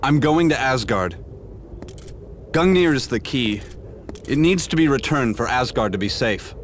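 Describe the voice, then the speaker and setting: A man's voice: medium pitch, animated, unhurried, a little hiss or hum, natural voice. A man playing a determined, grieving fantasy hero in a video game, speaking earnestly and dramatically. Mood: determined, somber.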